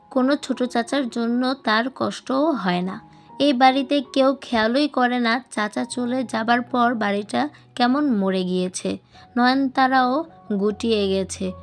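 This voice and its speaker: A woman with a fairly high voice narrates a novel as a scripted audiobook. She reads literary prose as a storyteller, with music playing behind her. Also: unhurried. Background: background music.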